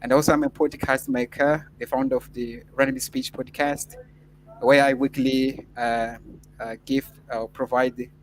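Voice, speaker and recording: A man's voice: mid-range voice, little pitch movement, measured pace, slight background noise, rough recording. A man whose first language is Portuguese, answering a mock scholarship interview in a formal, earnest way with frequent filler sounds. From Mozambique. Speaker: interview candidate.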